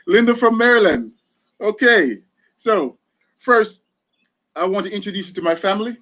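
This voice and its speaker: A man's voice: high-pitched, expressive, measured pace, bright, forceful tone. A middle-aged man with a Jamaican accent hosting a casual live chat, thanking people often. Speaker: gardening channel host, retired soldier.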